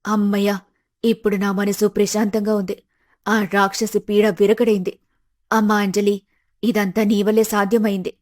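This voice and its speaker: A woman playing a motherly older aunt, lower-toned. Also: flat delivery, normal speed, pauses often, a little mellow, lightly acted. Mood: relieved gratitude. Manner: affectionate, motherly. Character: grateful motherly older aunt, gentle and relieved. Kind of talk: animated moral-story dialogue.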